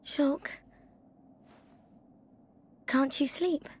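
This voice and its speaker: A young woman playing a gentle, selfless girl in a mechanical body in a video game, speaking softly. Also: high-pitched, fairly even intonation, drawn-out words. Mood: gentle, reassuring. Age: young adult. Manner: soft, gentle. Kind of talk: video game cutscene voice acting. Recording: game soundtrack music behind.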